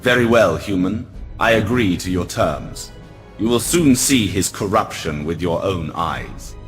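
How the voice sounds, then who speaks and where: Low-voiced, haughty alien prince. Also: male voice, lively intonation. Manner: measured, formal, haughty. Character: proud, aloof male alien elf prince. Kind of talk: video game cutscene acting. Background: music.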